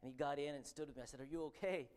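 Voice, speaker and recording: A man with a Canadian accent preaching a sermon and reading scripture, earnest and halting, with frequent ums and restarts. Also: fairly high pitch, darkish tone.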